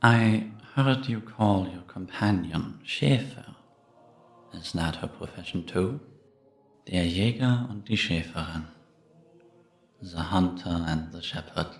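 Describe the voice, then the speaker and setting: Man playing a slow, deep ghostly old priest. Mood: curious, probing. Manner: slow, measured, solemn. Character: ghostly old priest, serene, curious, gently probing. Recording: echoey stone-room reverb.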